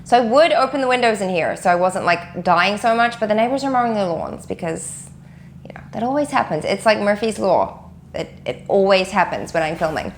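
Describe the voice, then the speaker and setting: A woman in her thirties with a husky, slightly nasal voice from a cold, chatting casually to camera from a warm room at home. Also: mid-range voice, animated, a little fast, a little hiss or hum. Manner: chatty, rambling, frequent ums and restarts. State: recovering from a cold. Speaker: homeschool vlogger.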